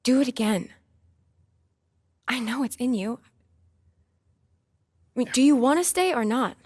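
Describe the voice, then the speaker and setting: A woman's voice: fairly high pitch, expressive, a little slow, a little mellow. A woman playing an athlete's supportive but insistent young girlfriend in a video game scene, earnest and natural in delivery. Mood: urging. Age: young adult.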